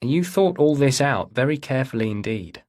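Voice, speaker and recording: A man voicing a calm female police detective who questions gently and persuasively in an audiobook. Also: mid-range voice, normal speed, natural voice. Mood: gently probing. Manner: soothing, probing.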